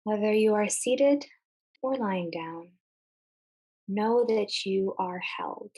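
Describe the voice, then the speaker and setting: A woman leading a guided meditation in a gentle, soothing manner, speaking in short phrases with long pauses. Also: mid-range voice, low-quality recording.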